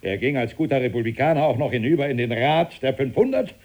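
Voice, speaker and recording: A man playing an agitated, scornful banker anxious for his fortune in a German radio drama, with a husky voice. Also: medium pitch, quick pace, a little mellow, natural voice. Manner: agitated, sarcastic.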